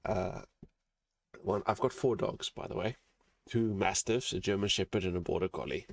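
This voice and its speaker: A man whose first language is Afrikaans hosts a relaxed interview over a call. His voice sits very low, and he is agreeable and quick to back up his guest. He keeps the talk easygoing and conversational. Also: vocal fry. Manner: friendly, agreeable, quick to laugh and affirm. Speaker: interview host.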